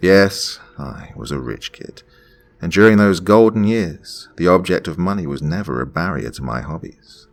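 Male narrator in his forties, deep rough voice with a lively lilt, slow reflective read. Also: soft background music. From Pennsylvania. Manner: measured, reflective storytelling. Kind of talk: scripted first-person narration.

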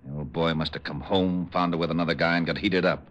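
An actor playing a sarcastic, put-upon homicide lieutenant, grumbling in 1950s radio drama style. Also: male voice, sits low, steady tone, fast, a bit bright, muffled recording. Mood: matter-of-fact. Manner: sardonic, grumbling. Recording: old radio broadcast recording.

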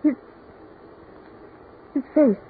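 An American actress playing an impatient, highly strung woman in a 1940s radio horror play. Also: drawn-out words, narrow, tinny audio. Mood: frightened. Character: impatient, scornful woman turning fearful. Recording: noisy band-limited 1940s radio recording.

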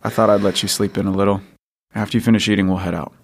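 A man acting as a weary, protective father in an audio drama, talking in a curt, steady way. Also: on the low side, very fast, a little hiss or hum, lightly acted. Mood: gentle. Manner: curt, steady, swears when pushed. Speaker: voice actor.

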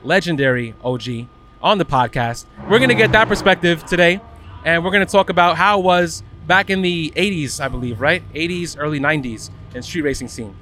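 A man with a slightly high voice hosts a casual interview podcast. He is recorded on location at a car event, with clear vehicle noise behind him. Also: fairly quick, sharp tone.

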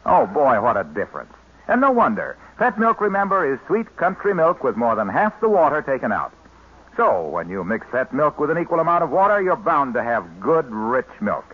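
A man's voice: medium pitch, wildly swooping pitch, moderate pace, husky. A man working as a 1940s radio announcer, reading scripted advertising with folksy, emphatic salesmanship. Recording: thin, phone-like vintage radio recording.

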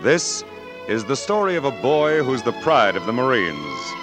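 A man's voice: medium pitch, sing-song, a little slow. A man narrating a 1940s radio drama in a solemn, dramatic manner. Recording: 1940s radio broadcast with music.

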